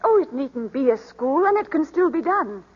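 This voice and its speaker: An actress playing a middle-aged upper-class mother, gracious but condescending, with polite measured speech in a 1940s radio drama. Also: sits high, very animated. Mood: placating. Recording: muffled 1940s radio broadcast, reverberant hall.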